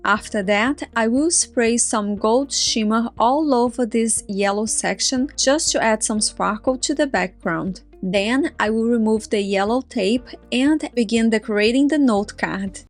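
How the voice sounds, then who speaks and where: Higher-pitched woman explaining step by step. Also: unhurried, few pauses, soft background music. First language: Portuguese. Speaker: crafting tutorial host. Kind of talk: scripted tutorial voice-over.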